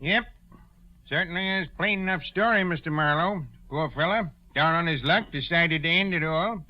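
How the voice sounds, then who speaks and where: A man's voice: a little high, normal speed, natural voice. A man playing a plain-spoken, folksy small-town sheriff in a 1940s radio drama. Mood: matter-of-fact. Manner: folksy, matter-of-fact. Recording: dull vintage 1940s radio recording.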